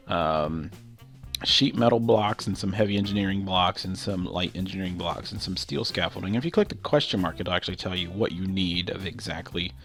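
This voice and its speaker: A man's voice: low voice, a bit bright. A man with a stuffy nose from a cold, casually talking through his game with loose, rambling explanations and lots of fillers. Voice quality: nasal. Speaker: gameplay commentator. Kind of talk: casual game walkthrough commentary.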